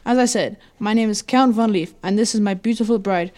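A woman's voice: medium pitch, brisk, mellow, lightly acted. A woman playing a courtly, sinister male vampire count who speaks with grand, welcoming formality. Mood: gallant, insistent.

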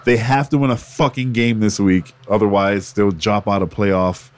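A man co-hosting a casual esports podcast, cursing freely and laughing through animated banter. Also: lowish voice, normal speed. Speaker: podcast co-host.

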